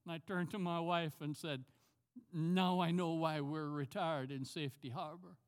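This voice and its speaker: A man's voice: on the high side, fairly even intonation, moderate pace. An older man from Jamaica with a Jamaican accent, preaching a sermon as a pastor and driving his points home by repeating key words. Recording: long hall reverb.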